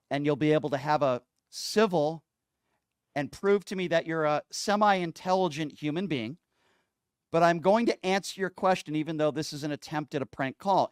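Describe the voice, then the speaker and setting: A male talk radio host with a notably high, clear voice. He argues combatively and sarcastically, scolding like someone sparring with a caller. The sound is dry and close. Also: dry recording. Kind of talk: political call-in talk show commentary.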